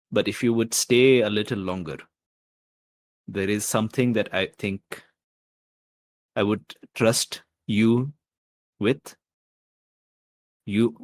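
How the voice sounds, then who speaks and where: A man's voice: fairly low pitch, no echo, voice pushed up. A man playing an earnest, emotional rebel leader in a tabletop game, stumbling sincerely over his words. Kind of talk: improvised tabletop roleplay.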